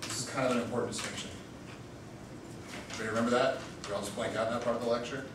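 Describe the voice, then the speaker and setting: A man's voice: mid-range voice, fairly even intonation, rapid-fire, far from the mic. A young man giving a joking, informal lecture on debate theory and quizzing his students. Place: classroom. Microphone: far room mic. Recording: echoey room recorded from afar with noise.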